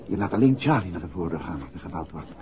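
A dull, noisy old radio recording carries a composed gentleman detective. He is courteous and astute, a man with a lively, moving pitch who chooses his words politely in true sixties radio-play style. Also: quick pace. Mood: calm, cautioning. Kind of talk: 1960s Dutch radio drama acting.

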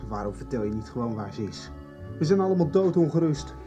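A man's voice: medium pitch, very animated, fast, over music, lightly acted. An actor playing an earnest, anxious young man in a radio soap, plain-spoken and serious. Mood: indignant, upset. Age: young adult. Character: earnest, anxious young man worried about missing friend. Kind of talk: 1990s radio soap acting.

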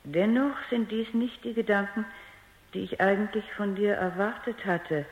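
A woman's voice: medium pitch, steady tone, moderate pace, natural voice. Actress as precise, stern woman scientist, muffled recording. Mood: controlled, probing. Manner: precise, probing, authoritative. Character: female robot scientist, stern, analytical, controlled. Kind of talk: radio drama narration and dialogue. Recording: dull, lo-fi radio drama recording.

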